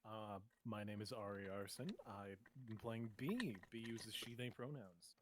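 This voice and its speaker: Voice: medium pitch, a little slow, background noise. A tabletop game player chatting casually with dry wisecracks. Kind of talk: casual joking chat.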